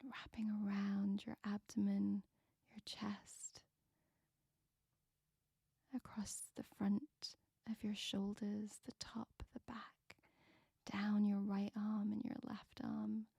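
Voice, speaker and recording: Meditation guide: woman, breathy whisper, slow and soothing, dry and close. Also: medium pitch, completely flat delivery, dark tone, no room sound.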